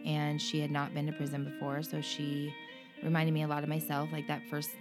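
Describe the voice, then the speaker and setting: A woman guest in an interview, speaking earnestly and emotionally about her own experience, with frequent pauses and restarts. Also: low voice, completely flat delivery, music in the background. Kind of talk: candid personal interview.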